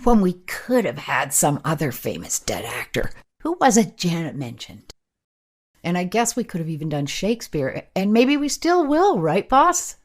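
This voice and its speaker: A woman playing an excitable, practical camera operator in a radio play, talking in a casual, emphatic way. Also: on the low side, moderate pace. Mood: excited. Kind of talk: radio play, naturalistic dialogue.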